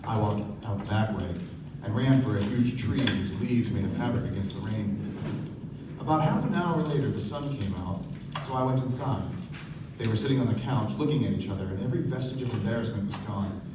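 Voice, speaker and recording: A man's voice: fairly low pitch, a little fast, close to an everyday voice. An actor playing a polite, wry young man who narrates a radio play. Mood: narrating, measured. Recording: very echoey, distant, noisy stage recording.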